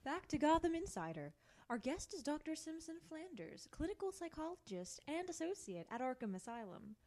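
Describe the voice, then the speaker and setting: Woman as a high, lively TV interviewer. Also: moderate pace, slight background noise. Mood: presenting. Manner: polished, challenging. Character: brisk, skeptical female TV news interviewer. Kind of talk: fan-dub audio drama acting.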